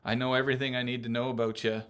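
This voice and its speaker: Bright-voiced joking male podcast host. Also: mid-range voice, flat delivery, very fast, clear, resonant tone. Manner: joking, teasing, laughs often. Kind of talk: casual chat.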